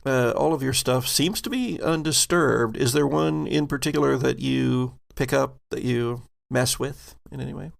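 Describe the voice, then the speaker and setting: A man improvising narration as game master of a tabletop game, descriptive and halting, with pauses mid-sentence. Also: mid-range voice, gravelly.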